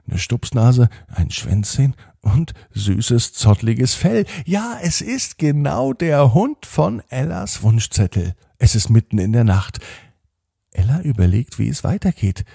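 Male children's podcast storyteller: dark, creaky, husky voice, deliberate bedtime delivery, close dry sound. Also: fairly low pitch, no echo. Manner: deliberate, repeats phrases, drawn-out emphasis.

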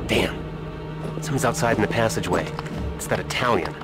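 An actor playing a weary, idealistic scientist in a sci-fi game, speaking earnestly and deliberately. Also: male voice, lowish voice, loud music behind the voice, natural voice. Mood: alert. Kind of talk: video game cutscene acting.

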